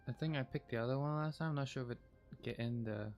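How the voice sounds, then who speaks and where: A man's voice: mid-range voice, fast talker, a little mellow. A man chatting casually as a game streamer, commenting over his livestream gameplay. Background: game music.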